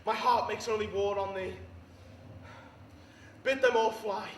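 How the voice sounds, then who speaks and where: A man's voice: sits high, level tone, a little slow. A man playing a betrayed, despairing Roman general in an impassioned, declamatory classical Shakespeare monologue on stage. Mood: raging. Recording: distant echoey room with background noise.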